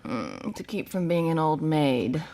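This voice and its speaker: An actress playing a tender young wife in a radio play, speaking softly and teasing gently. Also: female voice, low voice, very animated, a little slow, full character voice.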